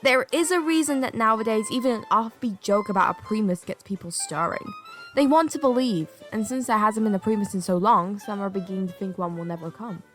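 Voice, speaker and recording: A woman's voice: medium pitch, a little fast, a bit bright, faint music behind, lightly acted. A woman playing a prim, self-assured, bookish kid in a fantasy audio drama, speaking formally and precisely. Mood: earnest. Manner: formal, precise, matter-of-fact.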